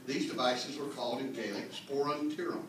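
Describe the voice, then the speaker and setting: A man's voice: medium pitch, steady tone, a little fast, background noise. Very echoey room, distant mic, man, comic mock lecture, folksy asides, mock-earnest delivery. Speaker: speaker reading a humorous mock history at a clan meeting. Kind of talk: tongue-in-cheek comic lecture read to an audience. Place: indoor meeting room with live audience.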